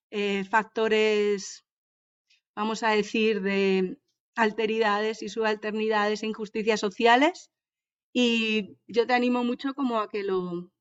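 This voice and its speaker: A woman's voice: on the high side, clear, resonant tone. A woman from Madrid with a Madrid Spanish accent, speaking thoughtfully and hesitantly in an academic discussion over a video call. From Madrid, Spain. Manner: thoughtful, hesitant, searches for words. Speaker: seminar participant. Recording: muffled video call audio.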